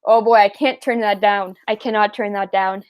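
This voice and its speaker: A woman's voice: mid-range voice, steady tone, moderate pace, clear, resonant tone, dull audio. A young Canadian woman hosting a fishing livestream, chatting casually and answering viewer questions, with lots of fillers and easy laughs. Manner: chatty, rambling, laughs easily, many fillers. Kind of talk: casual live chat reading viewer questions.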